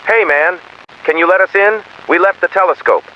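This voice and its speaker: An eerily calm male impostor, voiced by a male narrator in a horror story, speaking in a raised pitch with a flat, rehearsed friendliness. The audio is dull, with hiss underneath. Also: moderate pace, lightly acted. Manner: flat, rehearsed, pleading to be let in.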